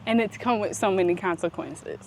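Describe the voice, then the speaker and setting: A woman speaking reflectively and conversationally as a vlog host, with deliberate, halting phrasing and emphatic pauses. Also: mid-range voice. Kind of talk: reflective conversational talk while walking. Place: outdoors, walking up a paved hill. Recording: noisy outdoor recording with traffic.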